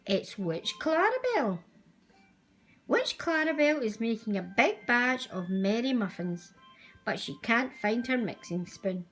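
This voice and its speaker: A woman reading a children's storybook aloud as a storyteller, with expressive, deliberate delivery. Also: on the high side, soft background music. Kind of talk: scripted storybook narration for young children.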